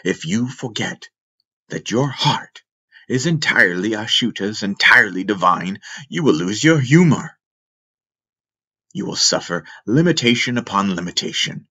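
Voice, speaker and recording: An aging, wise father and ruler of a fantasy tribe, voiced by a male narrator, speaks in a deep, forceful voice. He is grave and earnest, exhorting with slow, emphatic pleas. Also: animated, full character voice. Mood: grave warning. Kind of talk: fantasy audiobook character reading.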